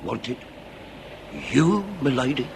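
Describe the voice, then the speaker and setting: An actor playing a grave, implacable but just police inspector in a radio drama, with a British accent and formal, deliberate, theatrical speech. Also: male voice, mid-range voice, expressive, gravelly, slight background noise. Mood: taken aback.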